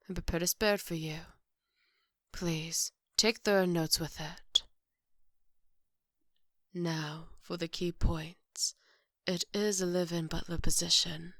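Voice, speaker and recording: A strict chief maid of a grand estate, acted by a woman in an ASMR roleplay. Her voice sits fairly low and is recorded close and dry. She speaks formally and with measured, sarcastic reproof. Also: fairly bright tone, no room sound. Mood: dryly sarcastic, then composed, businesslike.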